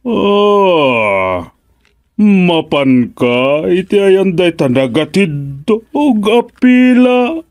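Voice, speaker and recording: A jovial, teasing old Filipino pal played by a man in a radio comedy. He drags his words out extremely slowly, with playful swoops in a fairly high male voice. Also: very animated, darkish tone, full character voice. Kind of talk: comedic radio drama acting.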